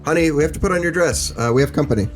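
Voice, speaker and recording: A man playing a gentle, worn-down father, resigned and understated, in an improvised role-play. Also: on the high side, racing, soft background music, close to an everyday voice.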